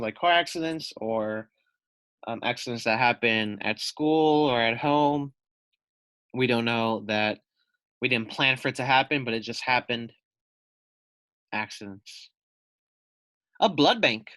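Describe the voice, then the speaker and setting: A man leads a read-aloud lesson for young children in a bright, forward voice. He takes his time, explains carefully, asks questions and goes back over key points. The sound is dry and close, with no room echo. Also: medium pitch. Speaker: teacher reading a children's book aloud.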